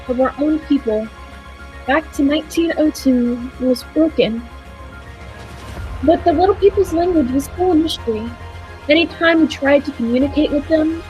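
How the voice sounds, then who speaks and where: Woman as an earnest time-travelling narrator, high-pitched, dramatic storytelling, old-time radio drama, faint music behind. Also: darkish tone, lightly acted, rough recording. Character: earnest, thoughtful time traveller recounting the adventure. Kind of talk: 1940s radio play narration and acting.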